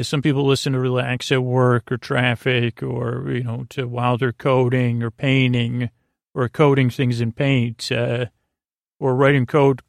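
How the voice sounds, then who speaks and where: A man hosting a sleep podcast, giving a meandering, halting bedtime monologue that drifts off into absurd tangents, with a creaky voice. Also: mid-range voice, no room sound, clean studio sound. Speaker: podcast host. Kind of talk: rambling, low-stakes bedtime monologue meant to lull listeners to sleep.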